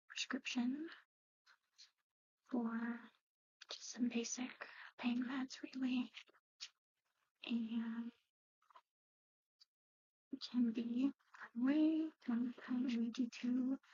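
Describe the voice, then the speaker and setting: A woman performing a soft-spoken, whispered ASMR roleplay as a soothing, slightly eerie medic, murmuring gently. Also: a little high, husky, no room sound, lo-fi sound. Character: soothing eerie female medic tending a patient.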